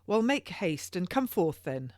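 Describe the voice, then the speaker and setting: A woman's voice: fairly low pitch, very animated, a little slow, darkish tone, no room sound, clean studio sound, voice pushed up. A woman voicing a theatrical, pompous king of England who speaks in grand, exclamatory, archaic courtly phrases in an audiobook. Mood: imperious.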